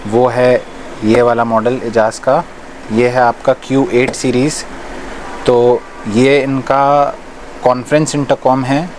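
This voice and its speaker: A man's voice: medium pitch, darkish tone. A man from India giving a casual, explanatory product demonstration, mixing English words into his talk. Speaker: shop presenter reviewing riding gear. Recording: clear background noise, vehicle sounds.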